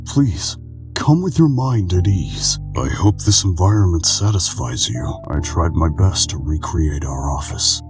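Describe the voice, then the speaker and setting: A man voicing a weary, calm, melancholic old keeper of memories in a game fandub, speaking in a measured, solemn way. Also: low voice, over music. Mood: solemn, gentle. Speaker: amateur voice actor in a game fandub. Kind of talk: dramatic scripted monologue, game dubbing.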